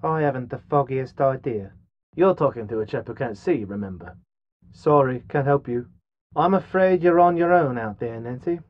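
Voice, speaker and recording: A man's voice: medium pitch, lively intonation, normal speed, muffled recording, lightly acted. An actor voicing a flippant, nearsighted, hungry Englishman trapped underground in a video game, with a wry, wordy manner. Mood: dry, flippant. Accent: British. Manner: wry, wordy, posh turns of phrase. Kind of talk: video game character dialogue.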